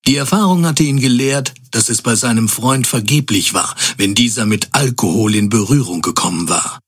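A man as the narrator of a comedy audio drama, delivering scripted narration in a measured, grandiose, tongue-in-cheek way. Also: mid-range voice, slightly rough, close to an everyday voice. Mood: mock-solemn.

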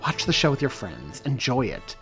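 A man's voice: mid-range voice, very animated, normal speed, fairly bright tone, soft background music. A man voicing a kindly, amused adult animal handler in a storybook reading.

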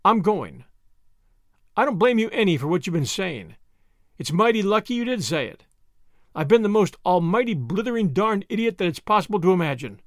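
A man voicing a brash, excitable young American in an audiobook, with slangy, blustering exclamations and an American accent. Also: high voice, normal speed, no echo, clean studio sound. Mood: subdued, conciliatory. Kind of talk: audiobook character voice.

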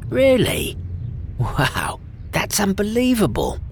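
A woman's voice: mid-range voice, huge pitch swings, slow pace, a little hiss or hum, full character voice. A woman voicing a cowardly, cheeky young beggar boy, in a comic manner.

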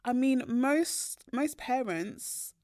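Young British woman, podcast co-host, candid and animated, dry close mic. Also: high voice, very slow, dry recording. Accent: British English. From Nigeria heritage, raised in Britain. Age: young adult. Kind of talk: casual podcast chat.

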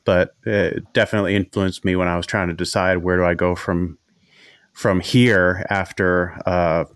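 A man with a New England accent hosts a casual podcast conversation. His voice sits very low, with a faint nasal whine. He rambles and restarts often in a dry, close recording. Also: smooth, dry recording. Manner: rambling, hesitant, many restarts. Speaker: podcast host. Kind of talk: casual interview chat.

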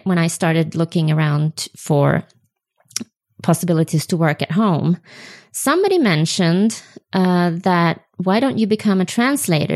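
A woman's voice: fairly low pitch, darkish tone. A woman in her forties with a Swedish accent, hosting an unedited solo podcast and talking with frequent ums and self-corrections. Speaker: podcast host.

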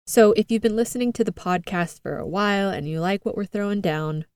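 A woman's voice: mid-range voice, fairly quick, a little mellow, clean tone, dry recording. Earnest American woman hosting a podcast. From United States. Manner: earnest, upbeat, with light laughs. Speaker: podcast host. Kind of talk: scripted podcast monologue.

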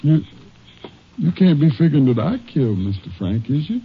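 An actor playing a humble, honest old farmhand with a Southern accent, husky, halting and deferential, in a 1950s radio drama. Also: male voice, on the high side, big pitch swings, slight background noise. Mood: hurt, bewildered. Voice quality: husky, gravelly. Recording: dull old radio broadcast.

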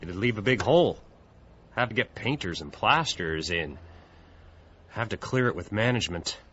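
An actor playing a matter-of-fact building superintendent in an audio drama, with clipped, folksy, casual phrasing. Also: male voice, fairly low pitch, expressive, normal speed, a bit bright, a little hiss or hum. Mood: dismissive. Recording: dull lo-fi audio.

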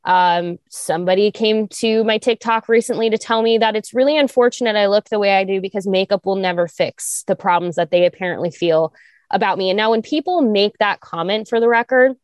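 A young woman co-hosting a talk show, speaking candidly with vocal fry, lots of fillers, and sharp jumps up in pitch when she makes a point. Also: fairly quick, dry recording, clean studio sound. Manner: animated, restarts and fillers, rises high when emphatic. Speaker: podcast co-host. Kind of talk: candid conversational chat.

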